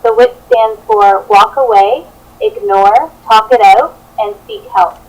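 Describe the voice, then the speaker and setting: A woman's voice: a little high, big pitch swings, takes it slowly, a little mellow, low-quality recording. A young adult woman reading out a scripted presentation as a student teacher. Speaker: student teacher presenting a children's book project.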